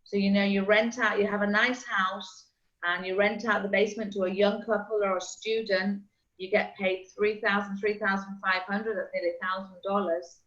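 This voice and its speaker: A middle-aged woman chats on a call in a mid-pitched voice, running through examples in a friendly, practical way. The audio sounds slightly dull and lo-fi. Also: level tone, fairly bright tone. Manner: chatty, explains with quick examples. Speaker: real estate agent. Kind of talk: casual video call chat.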